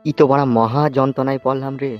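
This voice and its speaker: A man's voice: mid-range voice, steady tone, fast talker, dull audio, soft background music, natural voice. A man voicing a poor, weary, indignant village father in a comic cartoon, exclaiming and lamenting. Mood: exasperated. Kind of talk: comic cartoon dialogue in rural dialect.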